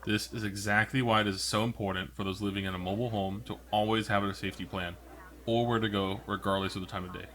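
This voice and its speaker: A man's voice: fairly low pitch, fairly even intonation, fast, fairly bright tone, a little hiss or hum, natural voice. A man playing an earnest weather broadcaster, giving scripted emergency safety advice in an emphatic, instructive way. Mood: urgent, pleading.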